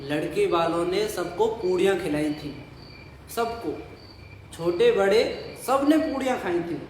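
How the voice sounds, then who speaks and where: An actor playing an old, poor village father in an audio play, nostalgic and boastful, savouring his drawn-out memories and grumbling. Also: high-pitched, a little mellow. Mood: wistful, savouring. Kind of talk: Hindi audio play dialogue. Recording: roomy echo, faint noise, night crickets.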